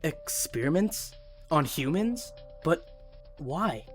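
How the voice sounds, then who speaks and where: A woman acting as a teenage boy student in an audio drama. The voice is very low for a woman, blunt, informal and defensive, with faint music behind. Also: sing-song, slow pace, full character voice. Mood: puzzled.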